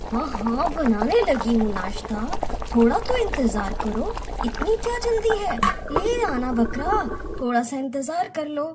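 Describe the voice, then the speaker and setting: A woman's voice: high-pitched, big pitch swings, unhurried. A woman voicing a busy, mildly scolding mother in a children's cartoon. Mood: exasperated. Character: busy mother telling her impatient child to wait. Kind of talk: Hindi children's cartoon dialogue. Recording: very noisy background with clicks.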